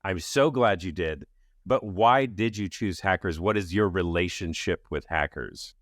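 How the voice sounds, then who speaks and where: Upbeat low-voiced male podcast host. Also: measured pace, a bit bright, no echo, clean studio sound. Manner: upbeat, enthusiastic. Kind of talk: podcast hosting. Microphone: studio mic.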